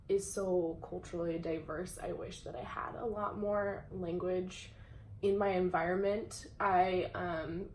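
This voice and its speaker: A young adult woman speaking casually and hesitantly, pausing often with "um". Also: on the low side, darkish tone. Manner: hesitant, many "um" pauses, laughs easily. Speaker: interviewer. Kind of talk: casual conversation. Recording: echoey room with faint background hum.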